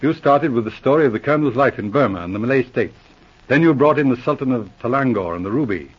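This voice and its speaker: An actor playing a patient, methodical Mountie sergeant who teases dryly, in 1940s radio acting. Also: male voice, mid-range voice, lively intonation, fast, slightly rough. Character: methodical, dry-witted male Mountie sergeant. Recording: dull lo-fi old radio broadcast.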